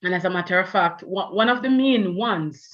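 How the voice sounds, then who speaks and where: A woman's voice: on the low side, normal speed, dull audio. A woman with a Jamaican accent hosting an online panel, talking loosely with many "you know" fillers. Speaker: event host.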